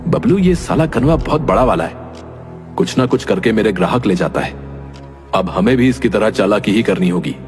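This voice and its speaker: A man's voice: on the low side, expressive, moderate pace, big-room sound, soft background music, close to an everyday voice. A man voicing a crafty, grumbling rival barber plotting in a cartoon story. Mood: angry, resentful. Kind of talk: Hindi cartoon moral-story acting.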